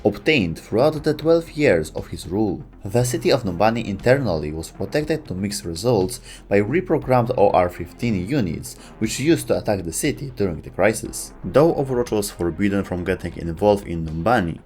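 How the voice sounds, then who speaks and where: Low-voiced man narrating, lively intonation. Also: moderate pace, hardly any pauses. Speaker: narrator of a video game lore explainer. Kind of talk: scripted narration. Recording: music under the voice. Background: music.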